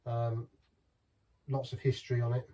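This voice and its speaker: A man's voice: medium pitch, completely flat delivery, moderate pace, warm tone. A middle-aged man chatting casually through a beer review, rambling and restarting as he talks. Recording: voice from some distance from the mic.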